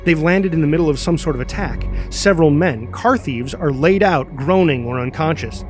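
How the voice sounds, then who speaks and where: A man's voice: fairly high pitch, moderate pace, faint music behind. A man reading scripted action narration as the narrator.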